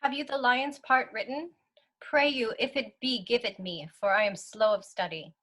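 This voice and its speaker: Woman playing shy joiner, slow learner, bright sharp tone, higher pitch, Shakespearean read, video call. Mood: anxious. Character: Snug, a timid, slow-learning male joiner.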